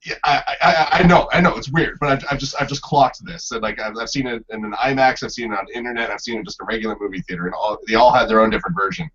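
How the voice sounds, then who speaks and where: Halting man on a muffled podcast. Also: mid-range voice, fairly bright tone, breathy edge. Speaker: podcast guest panelist. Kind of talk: casual chat. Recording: dull lo-fi podcast audio.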